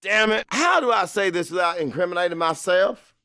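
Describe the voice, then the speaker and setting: A man's voice: sits high, expressive, brisk, pushed and bright, no room sound, clean studio sound, pitched above the performer's own voice, exaggerated voice. A man playing a middle-aged Cajun father, anxious and guarded, narrating his own story in a brooding, conversational audiobook style. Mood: awkward, tentative. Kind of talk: first-person dramatic audiobook narration.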